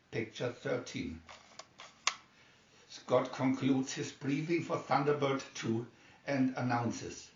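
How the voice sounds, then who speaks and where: Man reading a story, roomy distant sound. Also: medium pitch. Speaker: amateur reader of a children's story booklet. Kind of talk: scripted story narration, read aloud. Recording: dull, roomy, distant microphone.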